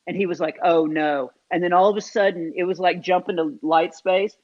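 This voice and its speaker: A man, a comedian guest in an interview, telling self-deprecating stories in an animated, casual way with many fillers. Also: high voice, brisk. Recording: dull lo-fi sound.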